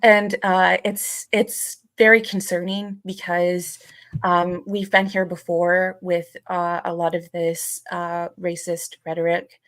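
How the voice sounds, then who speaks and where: A woman co-hosting a casual podcast, talking hesitantly with halting phrases and frequent pauses. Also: lowish voice, level tone, a bit bright, no room sound. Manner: hesitant, halting, many pauses and "you know". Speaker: podcast co-host.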